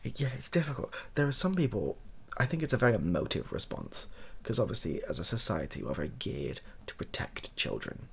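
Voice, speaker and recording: A softly spoken British man improvising a monologue as a video host, hesitant, thinking aloud and correcting himself as he goes. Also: on the low side, very animated, brisk, creaky, few pauses, slightly rough. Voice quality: soft-spoken.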